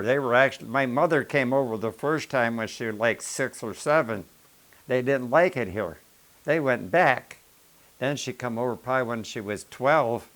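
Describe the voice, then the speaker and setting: A man's voice: mid-range voice, fairly bright tone. An older man from the rural American Midwest, telling plain-spoken, rambling stories about his family and farm life. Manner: plain-spoken, folksy, rambling with self-corrections. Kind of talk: casual family storytelling.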